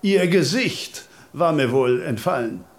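Theatralischer, langsam deklamierender Bühnenkünstler. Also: male voice, fairly high pitch, animated. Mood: aloof. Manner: theatrical, measured. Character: self-important male stage actor and artist. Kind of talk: declamatory radio drama acting.

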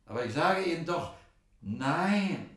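An actor playing a bossy, self-important investment tycoon in a German radio play, excitable, indignant and emphatic. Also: male voice, fairly high pitch, unhurried, reverberant. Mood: indignant, blustering. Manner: excitable, emphatic, repeating himself.

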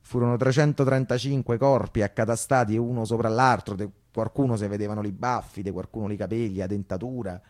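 A man's voice: lowish voice, steady tone, fairly quick, few pauses, a little mellow, lightly acted. An actor playing an anguished working-class Roman man, telling of wartime horrors in an insistent, colloquial theatrical monologue with a Roman accent. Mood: urgent, troubled. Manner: insistent repetition, rhetorical questions, colloquial. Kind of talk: theatrical radio monologue storytelling.

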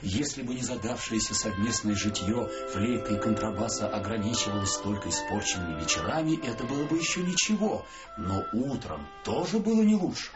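A man's voice: medium pitch, lightly acted. A man narrating a literary story expressively, as the narrator of a radio play. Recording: dull sound with loud music behind.

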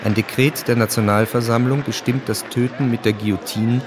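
A man narrating a scripted radio drama in a measured, matter-of-fact way. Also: medium pitch, fairly even intonation, background noise.

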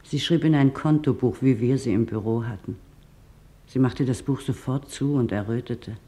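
A loyal office helper with a dark, mellow voice recalls events in a radio drama. Her delivery is gentle and deliberate. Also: extremely low pitch. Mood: reflective, wistful. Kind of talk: documentary radio drama testimony.

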